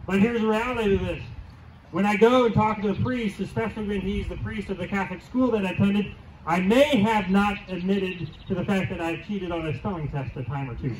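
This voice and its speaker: A middle-aged pastor giving a conversational outdoor sermon, asking the crowd questions and repeating key lines, heard through a handheld mic and PA. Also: male voice, high-pitched, brisk, a little hiss or hum. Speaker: teaching pastor. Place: church lawn outdoors, congregation under a tent. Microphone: handheld mic through PA speakers, filmed from afar. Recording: distant, roomy outdoor PA sound.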